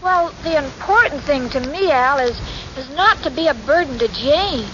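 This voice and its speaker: An actress playing a naive, sweet, scatterbrained young secretary in 1940s radio comedy, with an earnest, hesitant manner. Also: female voice, high voice, expressive, normal speed, pushed and bright. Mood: sweetly naive. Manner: earnest, dim, hesitant, muddles sayings. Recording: noisy, muffled 1940s radio recording.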